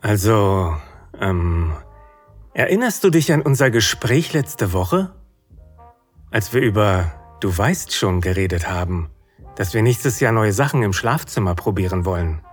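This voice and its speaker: Boyfriend role for audio drama, man: slightly low, unhurried, halting and nervously eager, tender with his partner. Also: big pitch swings, slightly rough, soft background music, full character voice. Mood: nervous, hopeful. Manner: hesitant, halting. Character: loving, nervously eager boyfriend proposing something daring. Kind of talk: intimate audio drama acting.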